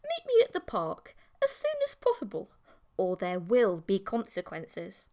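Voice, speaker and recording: A woman voicing an excitable, teasing and bossy female creature girlfriend with a sing-song delivery in an audiobook. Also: medium pitch, measured pace.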